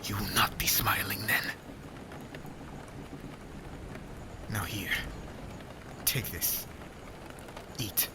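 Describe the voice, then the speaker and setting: A man playing a stern, brooding witch hunter in an ASMR fantasy roleplay, menacing and deliberate, often dropping into breathy half-whispers. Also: on the low side, fairly even intonation, heavy background noise. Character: stern, brooding young male witch hunter, captor aboard ship. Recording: loud rain, thunder and sea ambience.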